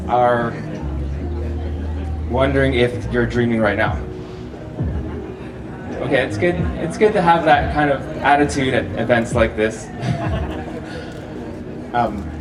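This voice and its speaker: A man gives a relaxed, chatty talk to a live festival crowd and often laughs between points. His voice sits at an everyday middle pitch and comes from a fair way off the microphone. The room is echoey and carries a steady hum of noise. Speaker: festival speaker. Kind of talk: live public talk to an audience. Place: festival venue with a live audience. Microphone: handheld or stand microphone, often held far off. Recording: roomy echo, distant mic, audible hall noise.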